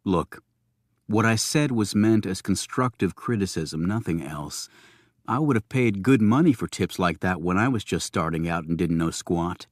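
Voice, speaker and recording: A man's voice: sits low, lively intonation, moderate pace, dry recording, clean studio sound, voice pushed down. A man voicing a blunt federal agent who talks firmly, in a thriller audiobook. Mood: stern. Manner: blunt, firm. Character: blunt male federal agent giving stern advice.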